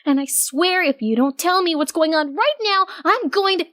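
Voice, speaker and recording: Woman as a very high, frantic young mare. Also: expressive, normal speed, a bit bright. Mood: frantic worry. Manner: rambling, worked up. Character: frantic, shrill, rambling young female pony florist.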